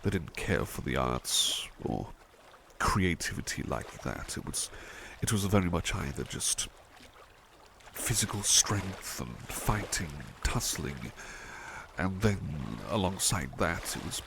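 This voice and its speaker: A man playing a devoted, tender butler in an ASMR roleplay, murmuring softly and a little hesitantly to the listener. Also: low-pitched, lively intonation, takes it slowly, creaky, noisy background, exaggerated voice. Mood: wistful, reflective. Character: devoted, tender male butler who loves painting.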